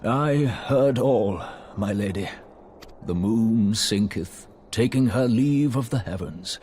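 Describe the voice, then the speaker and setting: An actor playing a solemn, grieving scholar in a video game, speaking formal, archaic, theatrical English. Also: male voice, fairly low pitch, expressive, slow, darkish tone, slight background noise. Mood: solemn, reflective. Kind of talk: scripted video game drama acting, archaic diction.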